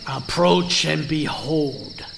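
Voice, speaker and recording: A man's voice: fairly high pitch, lively intonation, slow, creaky, background noise, exaggerated voice. An actor playing a wry, bossy male grave thief in a theatrical audio drama, commanding and sardonic. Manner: commanding, hushed urgency, sardonic. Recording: night insect ambience behind.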